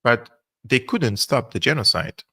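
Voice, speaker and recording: A man's voice: medium pitch, animated, a little slow, pushed and bright, breathy edge, no room sound, studio quality. A man who is a native speaker of Arabic and Armenian, giving live political commentary as a host and analyst, explaining his points emphatically.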